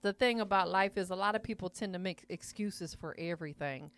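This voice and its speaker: Woman podcast host, chatty and slangy, smooth clear voice, studio mic. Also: medium pitch, fairly quick. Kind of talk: casual interview.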